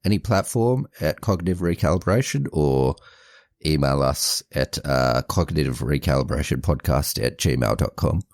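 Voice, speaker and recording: A man co-hosting a podcast in casual chat, measured and thinking aloud as he gives his views. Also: a bass voice, animated, darkish tone, no room sound. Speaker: podcast co-host.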